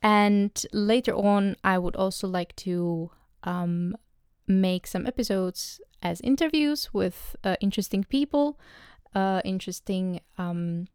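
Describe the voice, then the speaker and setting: Podcast host: young Czech woman, non-native English, slow and hesitant delivery, very clean dry mic. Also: mid-range voice, darkish tone, smooth, no echo. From Czech Republic. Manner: hesitant, many ums and restarts. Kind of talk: solo podcast monologue.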